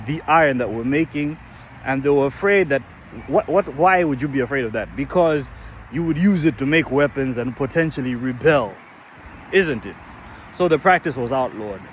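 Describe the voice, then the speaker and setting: There is a faint wash of urban outdoor noise around this man from Malawi. His voice sits a little higher than most men's. He explains things deliberately, in short phrases set apart by pauses. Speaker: musician. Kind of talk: explanatory talk to camera.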